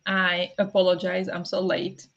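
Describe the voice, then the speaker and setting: Chatty woman streaming from home, slightly raspy. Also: lowish voice, completely flat delivery, unhurried, dull audio. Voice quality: sometimes raspy, habitually clears throat. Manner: chatty, apologetic, greets chat viewers by name, frequent fillers and soft laughs. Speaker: livestream host. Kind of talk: casual livestream chat.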